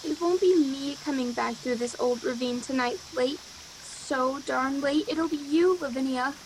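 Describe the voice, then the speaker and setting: A woman's voice: sits high, measured pace, slight background noise, poor audio. A woman playing a fretful, easily frightened young woman in a radio play, speaking anxiously and dramatically. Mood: fearful foreboding. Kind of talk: 1940s radio play acting.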